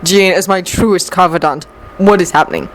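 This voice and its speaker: A woman voicing a stern, perplexed male professor with a measured, formal way of questioning. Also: on the low side, close to an everyday voice, poor audio. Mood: concerned. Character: stern, perplexed middle-aged male professor, leader of mutants.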